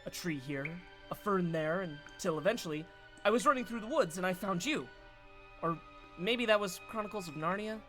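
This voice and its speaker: A man playing a rambling, breathless monster hunter from a comedy audio drama. He is on the run, with music clearly behind the voice. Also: high-pitched, animated, moderate pace, bright, forceful tone. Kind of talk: comic audio drama acting.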